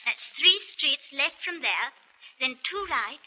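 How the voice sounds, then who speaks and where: An actress playing a cheerful, brisk London lady with a British accent in a 1940s radio drama. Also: high-pitched, animated, pushed and bright, slight background noise, heavily acted. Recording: muffled vintage radio recording.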